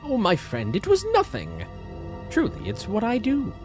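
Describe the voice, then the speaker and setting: Audio drama role: a deranged, sly schemer, pitched high and swooping wildly, all airy mock courtesy over music. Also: male voice, huge pitch swings, moderate pace. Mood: smug, playful. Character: flamboyant, deranged, sly villain feigning friendship.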